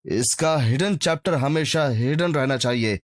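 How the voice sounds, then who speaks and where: Man acting a solemn, slow-spoken horror tale character. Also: medium pitch, dry recording, clean studio sound, natural voice. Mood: solemn warning. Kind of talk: animated horror story acting.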